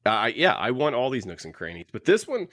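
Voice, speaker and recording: A man's voice: medium pitch, wildly swooping pitch, quick pace, fairly bright tone, no room sound. A man co-hosting a book podcast in casual discussion, opinionated and circling back over his phrases as he talks. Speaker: podcast co-host.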